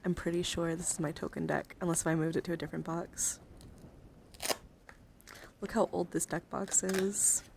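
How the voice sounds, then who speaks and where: A woman's voice: on the low side, fairly even intonation, normal speed, vocal fry, pauses often, a little mellow, slight background noise. A woman livestream host chatting casually, rambling and swearing freely as she shows off a collection. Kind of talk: casual livestream chat showing a card collection. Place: indoors, livestream with handheld camera.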